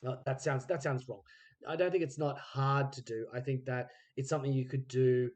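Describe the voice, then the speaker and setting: A man's voice: medium pitch, steady tone, normal speed, darkish tone. A man hosting a podcast in casual chat, telling anecdotes animatedly and acting out the dialogue in them. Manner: acts out quoted dialogue while telling stories. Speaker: podcast host and interviewer. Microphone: recorded remotely on a phone.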